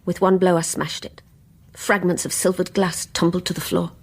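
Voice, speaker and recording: An actress playing a guilt-ridden, envious woman confessing in an intense radio play performance, with a breathy voice. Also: on the low side, little pitch movement, normal speed. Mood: haunted, disgusted. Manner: intense, wavering between hushed confiding and anguished outburst. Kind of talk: radio play acting, dramatic confessional monologue.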